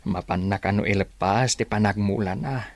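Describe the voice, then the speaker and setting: An actor playing a caring, weary elderly father in an Ilocano village radio drama, with a gravelly voice. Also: fairly low pitch, moderate pace, dry recording, lightly acted. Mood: worried, grateful.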